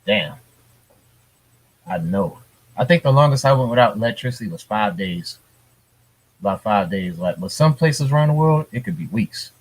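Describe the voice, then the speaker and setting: A man co-hosting a casual gaming podcast from home, talking in a relaxed, rambling way with lots of fillers. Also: medium pitch, normal speed, pauses often, low-quality recording. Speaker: podcast co-host. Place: hurricane-prone US city.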